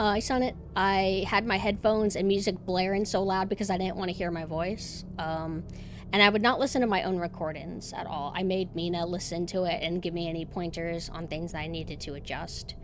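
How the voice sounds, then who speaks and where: A woman streamer with a monotone voice, chatting casually and rambling with lots of fillers and restarts. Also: lowish voice, moderate pace, soft background music. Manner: rambling, self-deprecating, many fillers and restarts. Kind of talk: casual chat.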